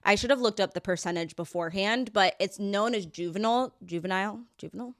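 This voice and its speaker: A woman's voice: mid-range voice, quick pace, no room sound, studio quality. A woman hosting a podcast in a casual, upbeat way, sounding a little stuffy from a head cold. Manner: upbeat, chatty, explains things plainly. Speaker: podcast host and dietitian.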